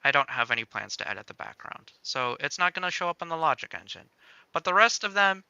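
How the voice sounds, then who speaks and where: A man hosting a livestream, casually walking through game-making software step by step, thinking aloud in a playful way. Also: a little high, very animated, fast talker, gravelly, smooth, dry recording, muffled recording.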